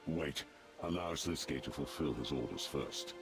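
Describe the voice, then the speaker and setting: An extremely deep, gravelly, devout ancient servant. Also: male voice. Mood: stern. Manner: formal, grave, measured and declarative. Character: solemn, devout ancient servant of a dark god. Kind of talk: scripted fantasy video game dialogue. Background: music.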